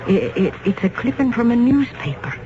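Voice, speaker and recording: An actress playing a hesitant, uneasy office secretary in a 1970s radio drama. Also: female voice, a little high, big pitch swings, moderate pace. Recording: dull, hissy old radio recording.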